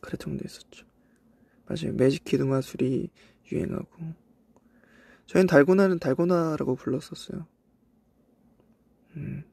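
A young Korean man from South Korea with traces of a Cheongju accent, chatting casually on a livestream and reminiscing in a relaxed way with soft asides. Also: on the high side, moderate pace, creaky, long pauses, husky, no echo. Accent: Cheongju dialect traces in Korean. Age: young adult. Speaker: idol livestream host. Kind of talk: casual livestream chat reading comments. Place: indoors, voice-only stream.

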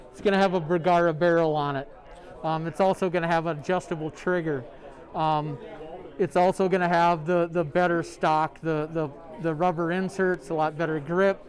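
A middle-aged man, a sales rep, showing products in a large store, talking in a halting way full of ums and uhs. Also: fairly high pitch. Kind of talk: product demo. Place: large sporting goods store, indoors.

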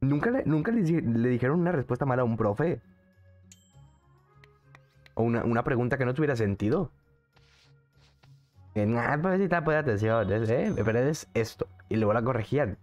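A man's voice: mid-range voice, animated, normal speed, pauses often, darkish tone, muffled recording, faint music behind. A young man with a Mexican accent, streaming a game and chatting in a casual, jokey way while answering his chat. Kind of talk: casual gaming commentary.

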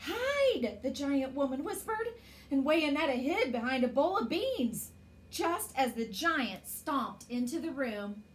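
A woman's voice: high voice, big pitch swings, unhurried, a little hiss or hum. A woman in her forties reading a children's story expressively as a storyteller, indoors.

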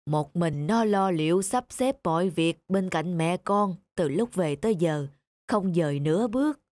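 A woman voicing a grave, kindly elderly father in a cartoon, giving measured counsel. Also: lowish voice, lively intonation, darkish tone, no echo. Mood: concerned. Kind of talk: scripted cartoon dialogue.

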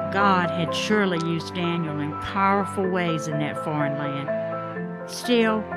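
A woman's voice: medium pitch, natural voice. An actress playing a reflective mother who narrates her life story with wonder in a Bible audio drama. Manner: measured, reflective.

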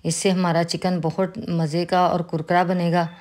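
A woman with a Pakistani Urdu accent, calmly explaining a recipe step by step as a cooking host. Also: lowish voice, moderate pace. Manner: calm, instructive, with religious greetings. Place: home kitchen.

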